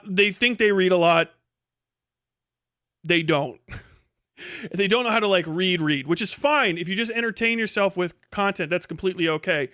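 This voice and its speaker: A man's voice: high-pitched, pushed and bright, clear, resonant tone, dry recording, clean studio sound. A man hosting a livestream, giving rambling, emphatic and sweary opinionated commentary in casual speech. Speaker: livestream host.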